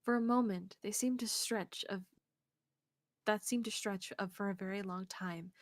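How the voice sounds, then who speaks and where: Female co-host for a visual novel stream, reading narration expressively, medium pitch, dry room. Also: fairly even intonation, moderate pace, no room sound. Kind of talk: scripted narration read aloud on a game stream. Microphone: close streaming mic, dry sound.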